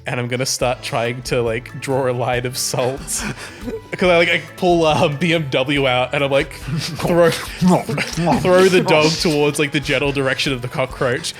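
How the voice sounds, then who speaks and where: A man's voice: fairly high pitch, a little slow, faint music behind. A man chatting casually as he plays an improvised tabletop game, thinking aloud in hesitant, broken phrases.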